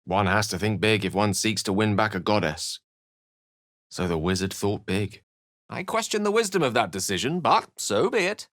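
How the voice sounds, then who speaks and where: An actor playing an erudite, courteous wizard in a fantasy video game, speaking in an eloquent, formal and dramatic way, with wry and melancholy shades. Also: male voice, fairly low pitch, lively intonation, normal speed, fairly bright tone, no echo, clean studio sound. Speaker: video game companion character. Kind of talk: theatrical fantasy game dialogue and narration.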